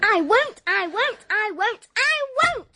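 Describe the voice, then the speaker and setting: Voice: very high-pitched, sing-song, slow pace, pushed and bright, slight background noise, full character voice. An actress playing a defiant, unruly young girl in a period audio drama. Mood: screaming defiance.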